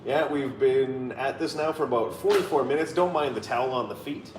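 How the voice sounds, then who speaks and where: A man's voice: medium pitch, brisk, roomy sound, recorded from a distance, background noise. A man in his thirties chatting casually and playfully on a live stream, reading viewer comments aloud. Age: 30s. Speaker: co-host reading live chat.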